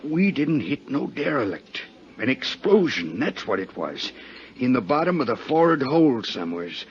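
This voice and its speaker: An actor playing a frightened, hard-drinking seaman with rough, uneducated sailor's speech in a 1950s American radio drama. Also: medium pitch, sing-song, normal speed, slight background noise. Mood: confiding, uneasy. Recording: muffled 1950s radio recording.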